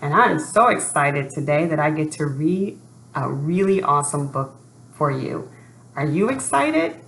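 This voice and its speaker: A woman presenting and reading a picture book to children in an expressive storytelling style, addressing young listeners directly. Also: low-pitched, gravelly. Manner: expressive, asks young listeners questions and pauses. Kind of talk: read-aloud storytelling for children. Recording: roomy sound with faint background noise.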